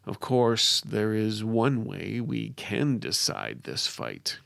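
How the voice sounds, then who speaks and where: A condescending spirit, voiced by a male narrator, sneers from inside a fantasy hero's head. The voice sits low, gravelly and slow, each word set down with deliberate mockery. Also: big pitch swings, a bit bright, dry recording, heavily acted. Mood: coaxing, superior. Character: scornful male spirit voice inside a warrior's mind. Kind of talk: dramatic fantasy audiobook character.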